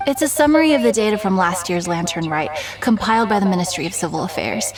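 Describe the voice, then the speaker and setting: An actress playing a friendly, organised festival coordinator in a game, polite and gently amused. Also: female voice, medium pitch, animated, fast, natural voice. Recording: distant voice over game music.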